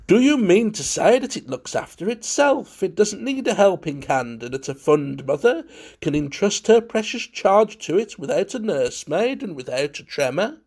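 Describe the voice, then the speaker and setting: A man's voice: sits high, lively intonation, moderate pace, creaky, a little mellow, pitched above the performer's own voice, exaggerated voice. A man voicing a flamboyant, affected society wit in a storybook, with theatrical, gleeful, lofty prattle. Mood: forced enthusiasm. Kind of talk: dramatised storybook reading.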